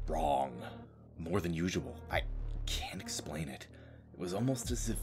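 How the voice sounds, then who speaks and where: Man playing a deep-voiced, haunted space janitor. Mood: brooding. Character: haunted male space-base janitor recounting a demonic invasion. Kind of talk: dramatic scripted audio-drama narration.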